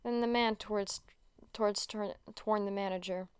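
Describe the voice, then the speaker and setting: A woman's voice: medium pitch, fairly even intonation, normal speed, clean tone, dry recording. A woman narrating a story as an amateur reader, often stumbling and rereading words. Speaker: podfic narrator.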